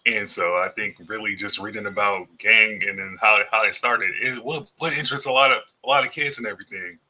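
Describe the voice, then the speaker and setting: A man with an American accent on a casual podcast panel, talking haltingly and repeating words. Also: lowish voice, steady tone, sharp tone, low-quality recording.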